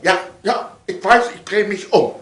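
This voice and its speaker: A man's voice: sits high, unhurried, fairly bright tone, pitched above the performer's own voice. A man playing a drowsy husband in a comic sketch, flustered and grudgingly agreeing. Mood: flustered compliance. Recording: echoey room sound.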